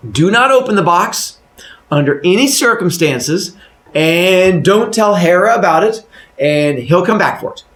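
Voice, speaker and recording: A man puts on an anxious, childlike husband from a comic Greek myth. He is dutiful and pitched high, with lively swoops. The room echoes around him. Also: a little slow. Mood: earnest. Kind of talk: comic live storytelling.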